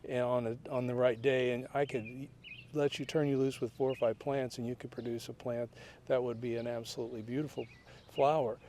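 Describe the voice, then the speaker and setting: A man's voice: medium pitch, flat delivery, fairly quick, warm tone, slight background noise. A man who grows daylilies, explaining in a plainspoken, matter-of-fact way during an interview in a garden. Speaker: daylily grower. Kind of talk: casual explanatory interview answers. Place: outdoor garden.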